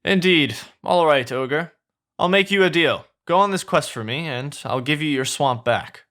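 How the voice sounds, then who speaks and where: A man voicing a smug lord villain in a fairy-tale story, pompous and deliberate as he bargains. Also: a little high, lively intonation, a bit bright.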